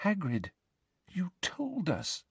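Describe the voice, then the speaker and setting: A man's voice: very high-pitched, huge pitch swings, extremely slow, a bit bright, no room sound, pitched above the performer's own voice, exaggerated voice. A man voicing a frightened, exasperated teenage girl in an audiobook, in a distraught, reproachful manner. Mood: terrified whisper.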